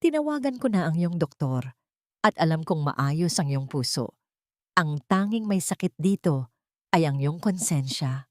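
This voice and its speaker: A woman's voice: deep voice, very animated, a little slow, no room sound, clean studio sound. A woman with a Filipino accent voicing a bitter, wronged son in an accusing, dramatic story reading. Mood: cold, bitter. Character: bitter, wronged adult son confronting his mother.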